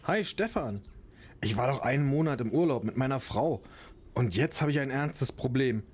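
A man's voice: medium pitch, animated, moderate pace, darkish tone, slightly rough. A man playing a chatty, practical rabbit breeder in an amateur radio play. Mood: cheerful.

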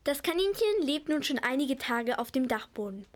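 A woman's voice: sits high, sing-song, moderate pace. A female narrator reading scripted storytelling links for a children's radio play.

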